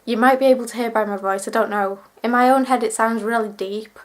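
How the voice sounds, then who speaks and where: A woman's voice: on the high side, fairly even intonation, a little fast. A twenty-year-old woman vlogging to camera, chatting in a rambling, self-deprecating way while sick with a cold and cough. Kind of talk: casual chat to camera.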